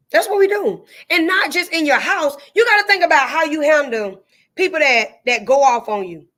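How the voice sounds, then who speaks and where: A woman's voice: high-pitched, fairly bright tone. A woman speaking candidly and emphatically, with a preachy, repetitive cadence. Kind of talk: candid testimony-style chat.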